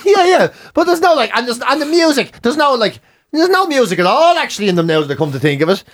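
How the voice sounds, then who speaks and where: An Irish man in middle age chats casually and comically. His voice sits notably high and jumps around with lively melody. He stammers through his stories and slips into little acted-out bits as a practised host. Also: fast talker. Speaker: podcast and radio host.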